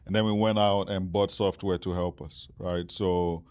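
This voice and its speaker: A man's voice: low voice, steady tone, moderate pace, darkish tone, smooth. A Nigerian man in his forties, a startup founder, answering interview questions with many fillers and restarts. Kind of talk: interview conversation.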